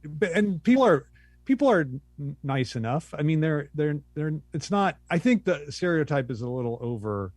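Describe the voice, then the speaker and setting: A middle-aged man is a podcast guest. He speaks in a relaxed, self-deprecating way and thinks aloud as he answers. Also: a little high, normal speed, no room sound. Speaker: guest academic. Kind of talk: casual interview chat.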